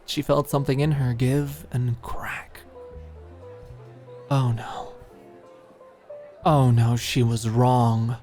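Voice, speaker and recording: A woman reads dramatic fiction at a slow, deliberate pace, soft and emotive in an intimate ASMR style. Music plays clearly behind her. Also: extremely low pitch, flat delivery. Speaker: audiobook narrator. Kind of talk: scripted dramatic narration, intimate ASMR audiobook.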